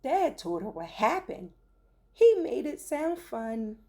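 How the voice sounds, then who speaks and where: Casting: woman for children's read-aloud, theatrical and animated in pitch, extremely unhurried delivery. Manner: theatrical, deliberate phrasing. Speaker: storyteller, teacher reading aloud. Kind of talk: expressive rhyming children's story read-aloud.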